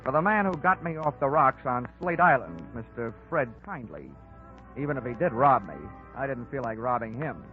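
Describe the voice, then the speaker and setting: An actor playing a tough, wisecracking insurance investigator in 1940s radio detective style. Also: male voice, mid-range voice, lively intonation, normal speed, close to an everyday voice. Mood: dry, wry. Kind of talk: 1940s radio acting, first-person detective narration. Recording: tinny old radio recording, music behind.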